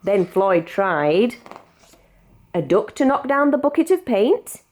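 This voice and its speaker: A woman with a British accent reading a children's picture book aloud in an expressive, measured storytelling manner. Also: medium pitch. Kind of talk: read-aloud storybook narration.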